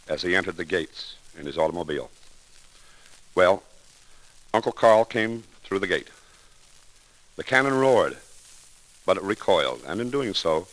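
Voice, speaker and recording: Deep-voiced man in old radio eulogy. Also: fairly bright tone. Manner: oratorical, deliberate, formal. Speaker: speaker giving a tribute. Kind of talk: scripted 1930s radio eulogy, storytelling. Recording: crackly vintage 1930s radio recording.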